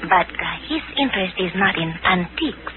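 A woman's voice: fairly low pitch, lively intonation, close to an everyday voice. Lady doing poised coy chinese antiques dealer, formal and polite, old radio drama, phone-like sound with noise. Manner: formal, measured, silky politeness. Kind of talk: 1940s radio drama acting. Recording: noisy, tinny old radio recording.

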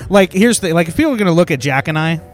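A man's voice: on the high side, animated, a little fast, soft background music. Laughing, rambling man on a podcast. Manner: laughs often, rambling with many fillers. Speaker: podcast co-host. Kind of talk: casual chat.

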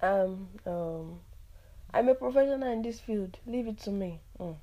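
A young woman from Nigeria, hosting a casual solo podcast, chatty and animated, with frequent laughs and fillers. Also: medium pitch, a little slow, lo-fi sound. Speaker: podcast host.

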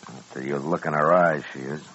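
Very deep-voiced frontier marshal. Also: male voice, steady tone, brisk, fairly bright tone, slight background noise. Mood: amused, wry. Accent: American. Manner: laconic, wry, understated. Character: calm, dry-humored frontier marshal of the Old West. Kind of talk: 1950s American radio western acting. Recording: dull old-time radio recording.

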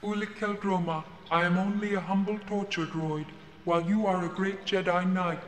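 An actor voicing a polite, servile yet menacing torture droid, speaking formally and mechanically in an audio drama. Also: male voice, high voice, steady tone, moderate pace, close to an everyday voice. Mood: politely menacing. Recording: echoing reverberant chamber, background noise.

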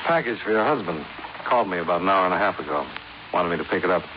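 Through the hiss of a muffled 1950s radio broadcast comes a hard-boiled American male investigator. His voice sits fairly low, and he speaks in terse, matter-of-fact lines with an inquisitive edge. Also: expressive, fast. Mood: probing. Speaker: lead actor and narrator of a detective series. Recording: noisy, narrow-band 1950s radio recording.

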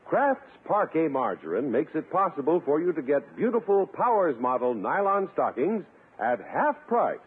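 A man's voice: a little high, sing-song, moderate pace, breathy edge. An American man announcing in a polished, emphatic 1950s radio style, reading scripted copy. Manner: polished, emphatic salesman delivery. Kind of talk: 1950s radio advertising read and scripted narration. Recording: narrow, tinny 1950s radio recording.

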